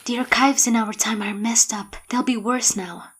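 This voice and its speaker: Actress as bossy female agent, high voice, brisk, sardonic, sci-fi audio drama, echoing hall. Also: fairly even intonation, fairly bright tone, close to an everyday voice. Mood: impatient. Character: bossy, quick-witted woman agent on a mission. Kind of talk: science fiction audio drama acting. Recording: echoey hall with long reverb.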